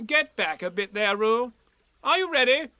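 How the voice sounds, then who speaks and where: A man voicing Rabbit, a fussy, bossy rabbit who gives brisk orders in a storybook reading. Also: very high-pitched, expressive, sharp tone, no echo, clean studio sound, voice pushed up, exaggerated voice. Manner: brisk, commanding.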